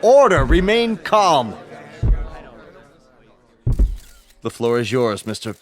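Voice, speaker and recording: Actor as formal statesman, medium pitch. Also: big pitch swings, in a large hall, close to an everyday voice. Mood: firm, formal. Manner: formal, deliberate, halting announcements. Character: sober United Nations secretary general, official and unsettled. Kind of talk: radio drama acting, press briefing.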